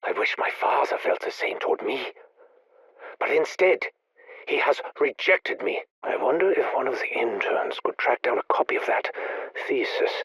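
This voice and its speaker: An actor playing a grieving, obsessive male scientist, speaking in a measured, brooding, confessional way in video game audio logs. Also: mid-range voice, animated, fairly bright tone, breathy edge, no echo, poor audio.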